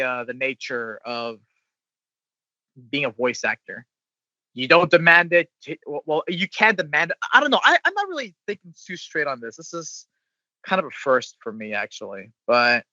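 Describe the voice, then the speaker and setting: A man with a bright voice speaking haltingly, repeating phrases while he looks for the right words. The recording is dull and muffled, with little room sound. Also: fairly high pitch, no echo. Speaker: voice actor guest. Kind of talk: casual podcast chat.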